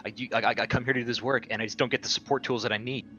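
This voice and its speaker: A man's voice: mid-range voice, steady tone, racing, bright, forceful tone, a little hiss or hum. A man talking casually as a player during a tabletop roleplaying game. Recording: dull lo-fi audio over clear music.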